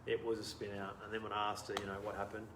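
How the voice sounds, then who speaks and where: Australian man in his thirties, interview guest, lively storyteller, laughs a lot, roomy sound, faint background hum. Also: lowish voice, very fast. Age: 30s. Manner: animated, laughs often, trails off quietly. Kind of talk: casual storytelling conversation.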